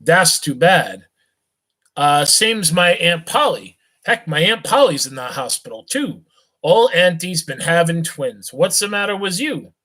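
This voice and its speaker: A man's voice: a little high, very animated, a little slow, bright, forceful tone, exaggerated voice. A man voicing a drunk, rich young man of about eighteen, slurring and rambling in a thick voice. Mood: tipsy friendly. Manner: slurring and hiccuping, lurching tipsy chatter.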